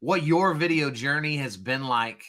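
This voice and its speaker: A man hosting an interview, praising and introducing his guest before asking questions, on a boom mic. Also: a little slow. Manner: warmly admiring interviewer. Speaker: host. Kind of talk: casual interview. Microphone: boom microphone.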